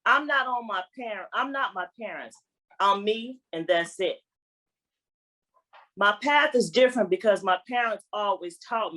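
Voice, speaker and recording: A woman's voice: mid-range voice, fairly bright tone, rough recording. A woman reading her own poem aloud as a spoken-word recital. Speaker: poet reading own work.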